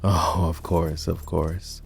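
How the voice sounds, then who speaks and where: A man playing a proud, bitter lowborn knight and war hero in a dramatic fantasy roleplay audio, with a sardonic, scornful manner. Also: flat delivery, slow pace, creaky, husky, soft background music, exaggerated voice. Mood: sardonic, impatient. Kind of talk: fantasy roleplay ASMR voice acting.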